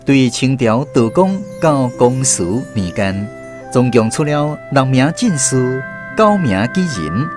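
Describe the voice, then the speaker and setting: Taiwanese male narrator, lively intonation. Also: medium pitch, takes it slowly, music in the background. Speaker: radio drama host and narrator. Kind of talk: scripted narration.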